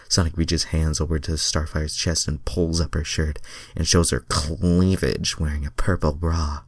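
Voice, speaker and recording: A man's voice: a bass voice, no echo. A man giving an amateur dramatic reading of fan fiction, breaking off for self-mocking asides.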